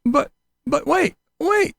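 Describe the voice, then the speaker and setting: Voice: high-pitched, expressive, takes it slowly, vocal fry, a bit bright, no echo, studio quality. A commentator narrating a mocking, sarcastic video about someone, in an informal way.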